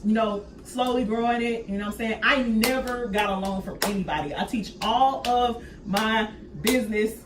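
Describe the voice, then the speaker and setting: A woman's voice: fairly high pitch, moderate pace, few pauses. Woman talking emphatically in an echoey room. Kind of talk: casual conversational podcast talk. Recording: echoey room, distant mic, faint noise.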